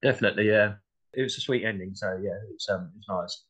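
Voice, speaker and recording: Hesitant southern English man, lowish voice. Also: fast talker, fairly bright tone. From Essex, England. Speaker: podcast guest. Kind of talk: casual discussion.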